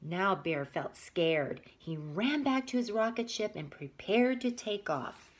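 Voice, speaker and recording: A woman teacher reading a children's picture book aloud expressively, stepping out of the story to talk to young listeners. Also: medium pitch. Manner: expressive, pausing to ask listeners questions.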